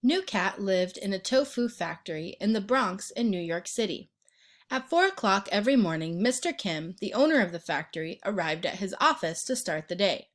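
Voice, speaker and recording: A woman narrating a children's picture book as an expressive read-aloud storyteller. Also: medium pitch. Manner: pauses to ask the listener questions and point out pictures.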